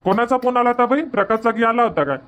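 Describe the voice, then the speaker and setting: A man's voice: very high voice, fast talker, a bit bright. Guy doing a older village farmer dad, plain talking, practical, rural vidarbha marathi accent, cartoon dub. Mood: fond, casual. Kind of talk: amateur Marathi cartoon comedy dubbing.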